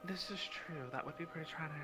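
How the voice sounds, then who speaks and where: A man playing a calm, knowledgeable guide in improvised role-play, explaining things in a measured way. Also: on the high side, lightly acted. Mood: reassuring. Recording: clear music behind the voice. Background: background music.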